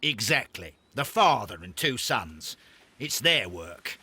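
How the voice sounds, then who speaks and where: Victorian police inspector, husky, forceful, animated intonation, deferential, matter-of-fact, video game cutscene. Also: male voice, mid-range voice, takes it slowly, dry recording, heavily acted. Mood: earnest, matter-of-fact. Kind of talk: scripted video game acting.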